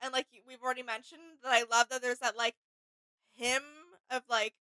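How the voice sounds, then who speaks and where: A woman shares casual commentary as co-host of a reaction video. She has a high, bright voice, recorded dry with no room sound. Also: level tone, brisk, dry recording, rough recording.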